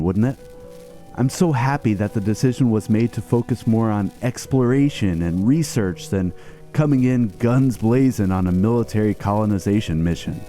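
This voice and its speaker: A man playing an idealistic starship captain and scientist, narrating a formal, measured captain's log in a game video. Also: lowish voice, warm tone, lightly acted. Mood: hopeful, wistful.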